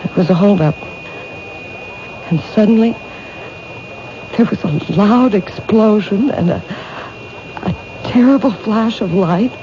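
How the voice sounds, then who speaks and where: A woman's voice: mid-range voice, slow pace, long pauses, natural voice. A woman playing a timid, frightened witness. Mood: recounting. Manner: soft, faltering, hesitant. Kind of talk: 1970s radio drama acting. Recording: dull lo-fi vintage radio broadcast with hiss.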